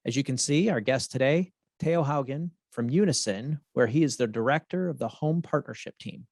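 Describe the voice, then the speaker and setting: A man hosts a podcast in a measured, steady way, with a voice of ordinary middle pitch. The sound is dry and close, with no room echo. He reads intros and puts questions to a guest at an even pace. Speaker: podcast host. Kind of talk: podcast hosting.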